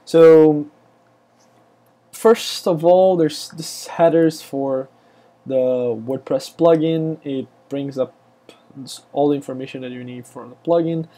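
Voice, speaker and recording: A man with a Brazilian Portuguese accent, speaking English as a second language, walks through code at an unhurried pace. His voice sits a little high but sounds mellow and soft-edged, and he often pauses, restarts and fills gaps with "uh" and "um". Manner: halting, many fillers and restarts. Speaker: web developer. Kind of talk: screencast tutorial.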